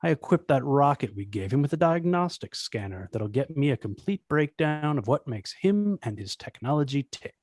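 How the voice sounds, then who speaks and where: A man's voice: mid-range voice, very animated, normal speed, few pauses, darkish tone, dry recording, clean studio sound, close to an everyday voice. Smug, commanding corporate villain played by a man. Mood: smug scheming. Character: ruthless, scheming corporate villain, adult man. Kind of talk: amateur script table read.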